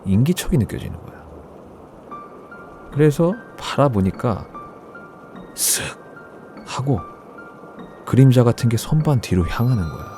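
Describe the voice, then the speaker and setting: A man narrating a first-person horror story in a casual, conversational storytelling way, with deliberate phrasing. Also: fairly low pitch, warm tone. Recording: background music under the voice. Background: music.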